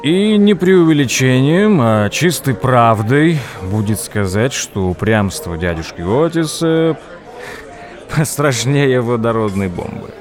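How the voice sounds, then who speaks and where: An actor playing a wry man who tells tall tales in an audio play, theatrical and deliberate, with dramatic pauses. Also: mid-range voice, expressive. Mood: wry, grave. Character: wry male raconteur telling tall tales over drinks. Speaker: narrator. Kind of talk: dramatized first-person storytelling in an audio play. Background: background music.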